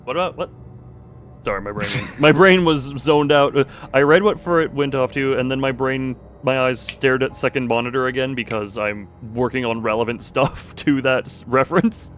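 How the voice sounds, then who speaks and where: A man's voice: mid-range voice, moderate pace, fairly bright tone, a little hiss or hum. A man bantering casually as a stream co-host, joking and feeling his way through explanations. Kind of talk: casual livestream chat.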